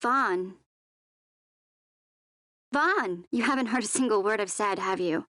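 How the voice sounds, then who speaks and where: A woman's voice: mid-range voice, slow pace, pushed and bright, no room sound, studio quality. A woman playing a sensible, teasing teenage girl in a video game, chiding and playful in a natural way. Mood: exasperated.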